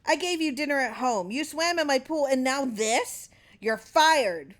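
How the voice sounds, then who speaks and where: A woman voicing a small, tyrannical male film producer from a short story, blustering and indignant in loud exclamations. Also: high-pitched, lively intonation, a little slow, pitched above the performer's own voice. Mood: furious, indignant.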